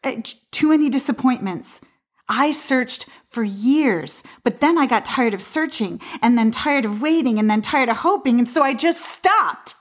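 A woman's voice: high-pitched, expressive, moderate pace. An actress playing a weary, guarded woman who has given up on love, speaking hesitantly in a naturalistic audio drama style. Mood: weary, resigned. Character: weary, disillusioned woman who stopped believing in soulmates.

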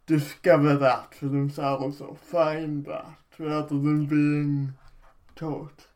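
Man, interviewer, slow hesitant questions, slightly high pitch. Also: little pitch movement, a little mellow.

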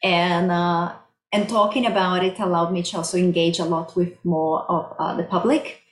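A woman's voice: on the low side, steady tone, moderate pace. A middle-aged woman from Brazil with a Brazilian accent, explaining her research plainly as an interview guest. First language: Portuguese. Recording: roomy, echoey sound.

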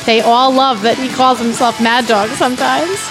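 A woman's voice: on the high side, steady tone, normal speed, over music, low-quality recording. A woman narrating screenplay stage directions at an informal comedy table read. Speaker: guest reader of stage directions.

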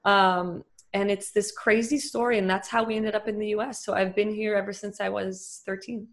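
Woman, thirties, from Lebanon, interview guest, bubbly, quick to laugh. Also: medium pitch. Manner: bubbly, laughs often, animated. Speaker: guest, company founder. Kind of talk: casual interview over video call.

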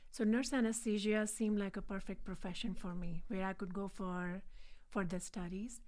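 A woman in her forties from India, speaking English with an Indian accent as a guest on a podcast, earnest and measured. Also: mid-range voice, fairly even intonation, a little mellow. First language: not English. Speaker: guest, nurse anesthetist. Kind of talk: podcast interview.

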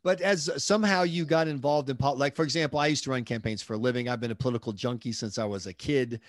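A man's voice: medium pitch, no room sound, studio quality. A man from the southern United States hosting a podcast interview, chatty and joking. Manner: chatty, joking, quick asides. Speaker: podcast host. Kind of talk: conversational interview.

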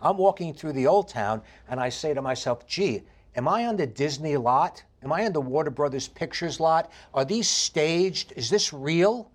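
A man in his fifties with a heavy New York accent, telling his life story in an interview with animated emphasis. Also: on the high side, moderate pace, few pauses. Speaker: interview guest. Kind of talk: candid conversational interview.

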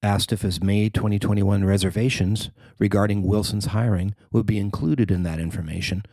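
A man who works as a newspaper reporter, reading his own article as scripted narration in a measured, formal way. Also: on the low side, fairly even intonation, dark tone, no echo.

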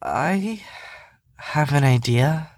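A man playing a tired, practical young patient in an audio drama, measured and reasoning. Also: a little high, lively intonation, dry recording, studio quality. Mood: earnest.

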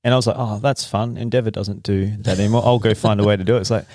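A man hosting a relaxed, informal interview and chatting with his guest. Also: fairly low pitch, racing, dry recording, clean studio sound. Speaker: interviewer, host. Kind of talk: casual chat.

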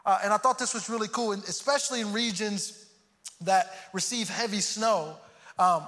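A man's voice: high voice, moderate pace, sharp tone. A man from Houston preaching a sermon, earnest and prone to repeating words as he starts a thought. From Houston, Texas. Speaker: pastor. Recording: long hall reverb.

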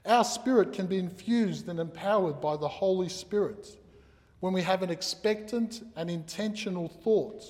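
Man, native Croatian speaker, preacher, slightly high voice, earnest, emphatic, long church reverb. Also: a little mellow. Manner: earnest, emphatic, uses rhetorical questions. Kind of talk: sermon. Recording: reverberant hall sound.

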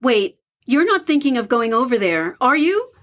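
A woman's voice: high voice, big pitch swings, normal speed, fairly bright tone. A woman playing a nervous, loyal young friend in an audio drama, uneasy and earnest. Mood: worried. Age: young adult.

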